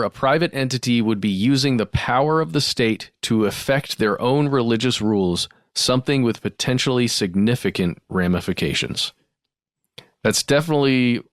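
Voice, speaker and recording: A man's voice: medium pitch, no echo. Man co-hosting a podcast, dry close sound. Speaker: podcast co-host. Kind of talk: casual podcast talk and reading listener mail.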